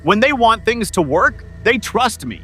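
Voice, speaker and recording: A man's voice: high voice, big pitch swings, measured pace, sharp tone, faint music behind, full character voice. A man voicing a stubborn, long-suffering keyboard key in a comedic puppet show, emphatic and exasperated. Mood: exasperated, indignant. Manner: emphatic, exasperated, clipped declarations.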